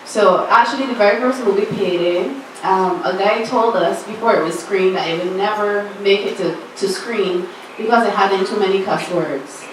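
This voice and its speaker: A woman's voice: mid-range voice, normal speed. Barbadian woman speaking in an echoey hall. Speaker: screenwriter and filmmaker on a panel. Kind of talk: panel discussion answers. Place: festival panel room. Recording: echoey room, far from mic, background noise.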